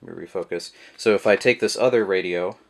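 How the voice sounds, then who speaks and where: Deep-voiced guy casually walking through a gadget demo, lots of ums and uhs, camera mic. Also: brisk, creaky. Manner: relaxed, rambling with many fillers. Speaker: product reviewer. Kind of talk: casual how-to demonstration. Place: indoors at a table, voice behind the camera.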